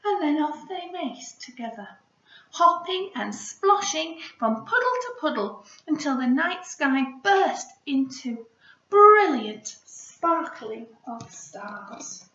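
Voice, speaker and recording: A woman teacher speaks to young children in a lilting, lively storytelling voice. Her delivery is unhurried and encouraging. The home recording is echoey and slightly dull. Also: high-pitched. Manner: encouraging, expressive, asks children questions. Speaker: primary school teacher reading a story.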